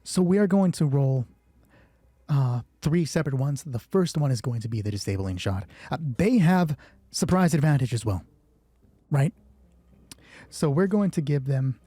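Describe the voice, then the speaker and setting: Husky, mellow man running a tabletop game. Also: on the high side, dry recording. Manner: informal, swearing, thinking aloud. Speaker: solo roleplay game master and narrator. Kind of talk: narrating a tabletop game, dramatic storytelling mixed with casual rules talk.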